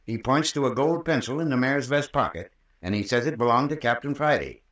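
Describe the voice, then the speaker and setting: An actor playing a folksy, patient, long-winded country doctor with a rural American accent in an old-time radio drama. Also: male voice, mid-range voice, lively intonation, a bit bright, natural voice. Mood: patient recounting. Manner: folksy, unhurried, patient storytelling. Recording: roomy, distant old radio recording.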